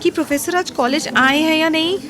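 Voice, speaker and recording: A woman's voice: high-pitched, a little fast, crowd noise, lightly acted. A woman playing a stubborn, defiant young college girl in an audio drama, mixing Hindi and English in a dramatic manner. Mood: annoyed, defiant. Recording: music behind.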